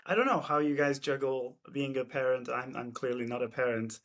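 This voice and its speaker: A man's voice: mid-range voice, fairly quick, a bit bright. Friendly man hosting a podcast. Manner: friendly and conversational, asks easy questions. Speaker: podcast host. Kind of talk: podcast interview.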